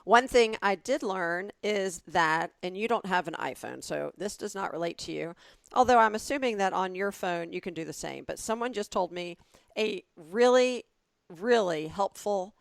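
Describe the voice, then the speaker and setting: A woman's voice: mid-range voice, vocal fry, fairly bright tone, no echo, clean studio sound. A woman from the American South co-hosting a podcast, explaining things at length in a chatty way and checking in with "right?". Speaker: podcast co-host. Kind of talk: casual conversational explaining.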